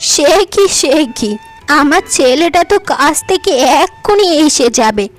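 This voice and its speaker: A woman voicing a fussy middle-aged village mother-in-law in a cartoon, coaxing and fussing in a shrill, raised voice. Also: animated, unhurried, faint music behind. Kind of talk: cartoon voice acting.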